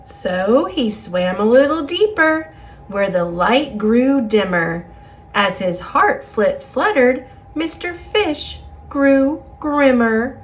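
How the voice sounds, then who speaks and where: American lady doing kids storytime, super slow n animated, singsong rhymes. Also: a little high, a little hiss or hum. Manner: deliberate, singsong rhyming delivery. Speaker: storybook reader. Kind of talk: expressive read-aloud narration for children.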